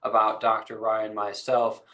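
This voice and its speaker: Low, flat-voiced man hosting a webinar. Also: unhurried. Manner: enthusiastic, repetitive. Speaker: webinar host. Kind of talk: guest introduction.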